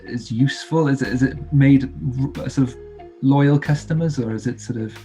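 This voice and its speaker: Mellow-voiced male interviewer. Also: medium pitch, moderate pace. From Wales. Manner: hesitant questions with fillers. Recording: faint music under the voice.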